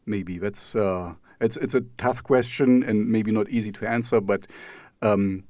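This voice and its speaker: A man's voice: on the low side, steady tone, unhurried, darkish tone, no room sound, studio quality. A man from East Germany with a German accent, a native German speaker, hosting a casual interview in a rambling, hesitant way as he circles around his questions. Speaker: radio talk show host.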